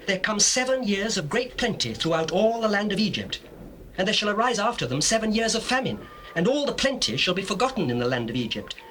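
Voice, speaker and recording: An actor with a British accent playing an earnest young Hebrew dream interpreter, declaiming solemnly in a scripture drama. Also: male voice, sits high, a bit bright, poor audio. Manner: declamatory, emphatic. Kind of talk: dramatized scripture acting in archaic English. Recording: faint hiss of old cassette.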